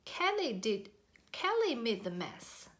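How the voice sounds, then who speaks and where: A woman's voice: on the high side, very animated, slow pace, a bit bright, a little hiss or hum, heavily acted. A woman voicing squabbling young koalas for a children's storybook, whiny and defensive in an emphatic, protesting way.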